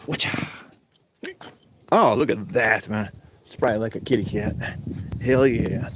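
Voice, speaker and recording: A man's voice: on the low side, slow. A man hosting a homestead livestream, sniffly from allergies, talking to himself and swearing casually while he works outdoors, picked up by a camera set up nearby. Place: on his homestead, working outdoors. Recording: noisy work-site recording.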